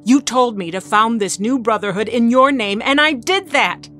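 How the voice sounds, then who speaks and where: A woman's voice: fairly high pitch, moderate pace, bright, forceful tone, soft background music. A woman playing a bitter, commanding mutant villain leader in an audio drama, curt and scornful. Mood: angry, exasperated.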